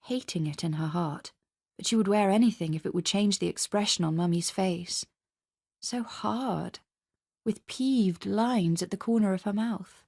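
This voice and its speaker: A woman's voice: medium pitch, unhurried, dark tone. British woman reading dramatic fiction. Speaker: story reader. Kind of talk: dramatic literary narration for radio.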